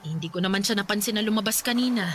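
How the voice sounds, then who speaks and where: A woman's voice: medium pitch, racing, a bit bright, close to an everyday voice. Fretful Filipino mother, acted by a woman, music behind. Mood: worried. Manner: fretful, emotive. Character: worried, pleading middle-aged mother of a troubled household. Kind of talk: Tagalog radio drama acting.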